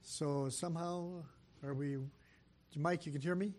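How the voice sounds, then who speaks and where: An older man, soft-voiced, giving a sermon as a guest preacher in a church, talking fast with frequent fillers and restarts. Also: a little high, fairly even intonation. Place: church sanctuary. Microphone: microphone.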